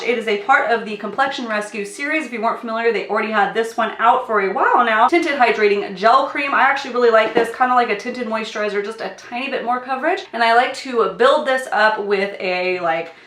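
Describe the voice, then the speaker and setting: A woman's voice: a little high, a bit bright. A young woman reviewing makeup in a casual, upbeat vlog, sounding nasal and a little sick. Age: young adult. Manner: chatty, upbeat, sing-song catchphrases. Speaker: beauty vlogger. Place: small indoor filming space. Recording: echoey room.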